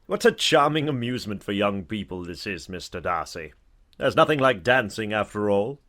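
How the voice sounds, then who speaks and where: An actor playing a genial, talkative older knight in a dramatized audiobook, speaking in an effusive, courtly way. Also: male voice, on the low side, animated, moderate pace, a bit bright. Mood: sociable.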